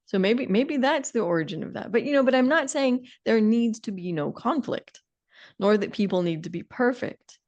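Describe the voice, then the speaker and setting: A woman's voice: on the high side, expressive, normal speed, darkish tone, muffled recording. A middle-aged woman co-hosting a podcast in a conversational way, with a wry, emphatic and opinionated manner. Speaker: podcast co-host.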